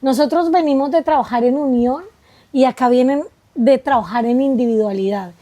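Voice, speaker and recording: A woman's voice: high voice, dark tone. A woman, talking reflectively as a guest, spiritual in tone and tagging rhetorical questions onto her points. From Colombia. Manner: spiritual, musing, quick asides with rhetorical questions. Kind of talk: reflective conversation.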